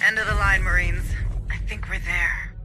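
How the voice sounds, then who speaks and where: An actor playing a composed military officer who guides a marine squad in a video game, instructive and serious. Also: male voice, high-pitched, little pitch movement, moderate pace, sharp tone, soft background music. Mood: grave. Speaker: mission guide.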